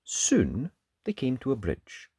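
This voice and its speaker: Very animated, slow-paced children's storyteller. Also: mid-range voice, husky. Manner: expressive, dramatic storytelling. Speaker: storybook reader. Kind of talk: animated children's read-aloud narration.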